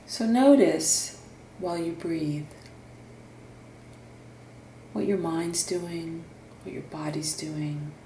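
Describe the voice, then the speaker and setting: Female meditation guide, mellow and slightly low, soothing and instructional with long pauses. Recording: roomy echo, faint background noise.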